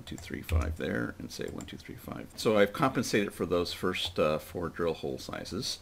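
Deep, gravelly-voiced man giving a software tutorial. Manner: plain, explanatory, thinking aloud while demonstrating. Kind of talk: informal step-by-step screencast narration. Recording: clearly noisy desk recording with typing.

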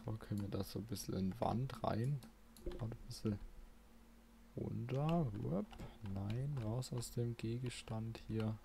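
Slow-talking man commentating a video game. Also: on the low side, creaky, noisy background. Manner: grumbling, mild swearing at the game. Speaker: let's play host.

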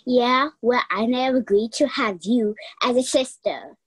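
Young woman doing pompous lead detective in a radio play, highish husky voice, very dry sound. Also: unhurried, no room sound. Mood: bickering. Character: self-important female lead detective, competitive twin sister. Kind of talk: radio mystery play acting.

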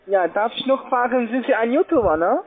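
A woman's voice: a little high, lively intonation, brisk. A woman who is a non-native speaker, chatting casually as a call centre caller. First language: not German. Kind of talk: casual phone chat. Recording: thin phone line.

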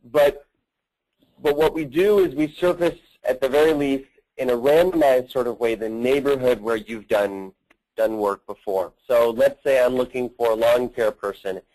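A man giving a product demo on a conference call as a company representative, often pausing mid-phrase. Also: medium pitch, a little mellow, low-quality recording.

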